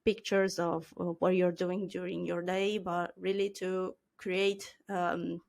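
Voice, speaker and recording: A woman with an Italian accent, a native Italian speaker, chatting as an interview guest over a video call with many fillers and pauses. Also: on the low side, little pitch movement, gravelly, darkish tone. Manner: hesitant, many fillers, laughs often. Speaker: marketing consultant, guest. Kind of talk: casual interview chat.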